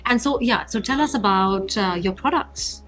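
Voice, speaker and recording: A woman's voice: on the high side, normal speed, a bit bright, low-quality recording. Woman, podcast host, effusive, casual interview, faint background music. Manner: enthusiastic, effusive.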